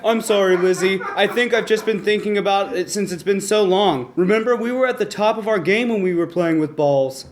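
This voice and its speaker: A man's voice: high-pitched, fairly quick, few pauses, lightly acted. A man voicing an eager, sheepish aging toy bucket in a live comedy story reading. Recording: noisy live room recording.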